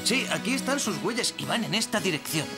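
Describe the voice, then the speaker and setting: Cartoon young gnome: high, lively, eager and earnest, music loud behind. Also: male voice, a little fast. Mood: earnest concern. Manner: earnest, excitable. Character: young, eager, worried male gnome nephew. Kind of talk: cartoon dub acting.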